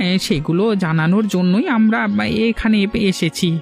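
A man's voice: sits high, normal speed, lightly acted. Cartoon gossip from the city, acted by a man, hesitant, confiding, faint music behind. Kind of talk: Bengali cartoon voice acting.

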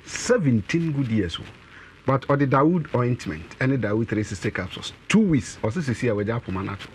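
Ghanaian guy in his 30s selling herbal remedies on a tv studio panel, lively explaining, faint hiss behind. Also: mid-range voice, brisk. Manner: animated, explaining and gesturing with products. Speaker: herbal medicine representative. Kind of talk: promotional studio interview. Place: TV and radio studio.

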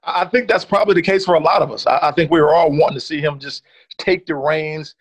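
A man's voice: on the high side, quick pace, slightly rough, no room sound. A man in his thirties, a former running back, casually breaking down game film as a guest, often saying "certainly.". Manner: relaxed, leans on "certainly" and "uh". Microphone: video call. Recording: dull dry video-call audio.

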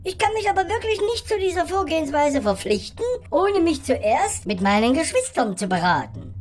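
Woman as a squeaky, swooping billy goat. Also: sing-song, normal speed. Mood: frightened. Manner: over-polite, wordy, bleating. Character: male billy goat, bleating, polite, earnest, self-sacrificing. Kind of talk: audio fairy-tale parody acting.

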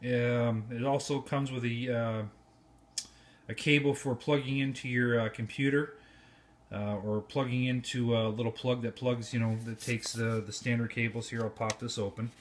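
A man's voice: mid-range voice, steady tone, normal speed, slight background noise. A man giving an informal, slightly rambling hands-on product review, recorded indoors on a handheld phone. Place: indoor room at home. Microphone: handheld cell phone.

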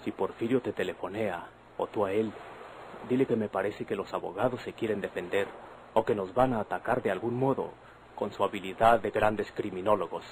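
Man acting a wounded proud young man, lowish voice, Mexican accent, declamatory, vintage radio drama. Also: brisk, a little hiss or hum, natural voice, low-quality recording. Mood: calculating. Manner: solemn, declamatory.

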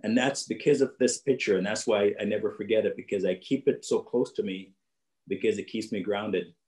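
A man's voice: on the low side, steady tone, a little fast, darkish tone, reverberant, rough recording. A middle-aged man from Jamaica with a Jamaican accent, telling a personal story to young people in an earnest way over a video call from his home office. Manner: earnest storytelling, repeats phrases for emphasis. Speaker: guest speaker.

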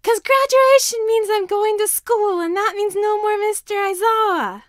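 Small child for a story reading, played by a woman: high, loud, whiny, stubborn. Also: moderate pace, no room sound, clean studio sound. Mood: upset protest. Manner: yelling, whiny.

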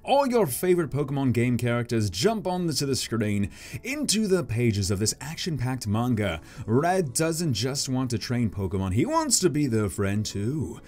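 A man's voice: mid-range voice, sing-song, normal speed, darkish tone. A man in his thirties hosting a casual vlog, joking and self-deprecating as he comments. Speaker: YouTube host reading manga aloud.

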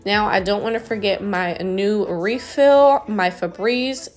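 A woman's voice: mid-range voice, slow pace. A woman in her thirties, a stay-at-home mom, giving a casual, upbeat voice-over about cleaning her house, with small laughs.

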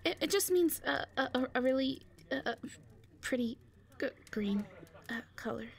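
Woman acting a stammering shy owl girl, softly high. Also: lively intonation, normal speed. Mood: bashful, hesitant. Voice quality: soft, breathy, often whispering. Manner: timid, heavily stammering, hesitant. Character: shy, frightened, wounded owl beast-woman captive. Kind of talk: audio roleplay drama acting.

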